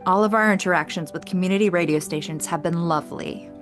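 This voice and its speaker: Woman acting poised, low-voiced detective's partner. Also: quick pace, faint music behind, natural voice. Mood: gracious, assured. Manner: formal, assured. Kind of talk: audio theater mystery acting.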